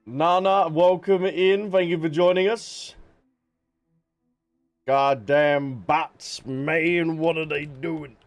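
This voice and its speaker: A man's voice: fairly high pitch, fairly even intonation, slow, faint music behind. A man hosting a livestream, talking casually and informally to his viewers in a chatty way. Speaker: live streamer, host.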